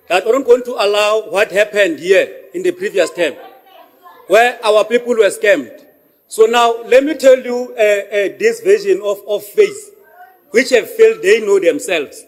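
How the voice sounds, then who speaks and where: South african guy yelling at council, really high voice, fired up and combative. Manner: forceful, combative, often shouting. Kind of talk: heated council debate speech. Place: council chamber.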